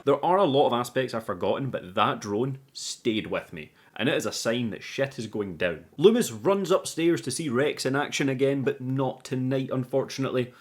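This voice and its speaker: A man's voice: medium pitch, animated, normal speed, hardly any pauses, slight background noise. Chatty man recapping a movie. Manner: chatty, informal, swears casually. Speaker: YouTube movie reviewer. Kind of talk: casual scripted movie recap commentary.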